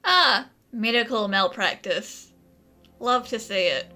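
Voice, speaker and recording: A woman's voice: on the high side, lively intonation, slow pace, bright, forceful tone. A woman giving casual, sarcastic commentary as she reacts to a video game. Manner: wry, sarcastic. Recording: game music behind the voice.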